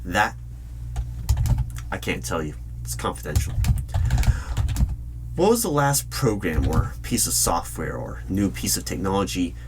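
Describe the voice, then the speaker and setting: A man playing a stern, suspicious hiring manager at a secret bureau, questioning deliberately and insistently in a loud-spoken ASMR interview roleplay. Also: sits low, very animated. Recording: clear hum, computer keyboard typing noise. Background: keyboard typing.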